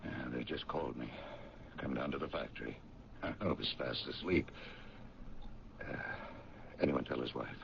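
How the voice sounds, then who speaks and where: An actor playing a blunt, proud, middle-aged owner of a family paint company in a radio drama, speaking haltingly. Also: male voice, very deep voice, gravelly, heavily acted. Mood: subdued. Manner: hesitant, halting talk with ums and pauses. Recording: muffled, noisy old radio drama recording.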